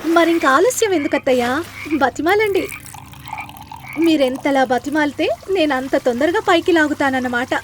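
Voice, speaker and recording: A woman's voice: high voice, animated, moderate pace, slight background noise. A woman voicing a clever, teasing young daughter-in-law in a moral story, with a cheeky, taunting manner.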